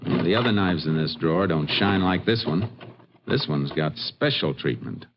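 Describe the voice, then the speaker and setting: Texas Ranger, deep voice, methodical, unhurried temper, muffled vintage radio drama. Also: male voice, lively intonation, noisy background. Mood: shrewd, calm. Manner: laconic, methodical. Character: calm, observant Texas Ranger lawman. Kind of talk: vintage radio detective western acting.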